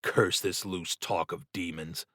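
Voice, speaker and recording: A man reads a horror novel in a dry, studio-like sound. His voice sits fairly low and he takes his time, very slowly building suspense. The delivery is measured, dramatic storytelling. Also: steady tone, sharp tone, dry recording. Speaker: audiobook narrator.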